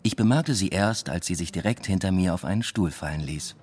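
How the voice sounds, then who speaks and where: An actor playing a weary, introspective academic who tells his own story in measured radio drama narration. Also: deep voice, darkish tone, breathy edge. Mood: dry, observant. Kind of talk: scripted first-person radio drama narration.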